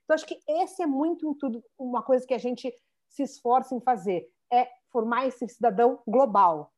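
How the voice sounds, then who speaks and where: A Brazilian-born woman in her thirties, telling stories with lots of restarts in a relaxed interview. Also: fairly high pitch, a little fast. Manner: animated storyteller, many restarts and "né". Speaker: founder of a Portuguese school for children. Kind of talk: conversational interview.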